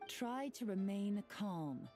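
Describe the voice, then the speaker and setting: An actress voicing a cool, businesslike investigator in a video game, controlled and authoritative. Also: female voice, mid-range voice, animated, slow pace, mellow, faint music behind. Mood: wary, firm. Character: cool, businesslike female investigator, wary and firm.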